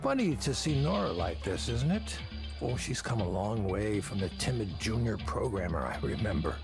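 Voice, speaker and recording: A man's voice: fairly low pitch, very animated, normal speed, vocal fry, music in the background. An actor playing a musing, patronizing man in a video game. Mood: wry, musing.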